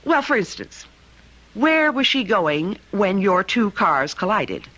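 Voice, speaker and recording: A woman's voice: medium pitch, big pitch swings, slow pace, fairly bright tone, lo-fi sound, full character voice. An actress playing an inquisitive, flustered mother of a grown son in an old-time radio drama. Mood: probing. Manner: fussy, probing, flustered exclamations.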